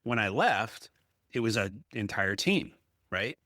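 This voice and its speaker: A man's voice: fairly low pitch, expressive, slow pace, sharp tone, no room sound, clean studio sound. A man hosting a conversational business interview, talking in an emphatic, anecdotal way and often checking in with "right?". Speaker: podcast host, apartment investor.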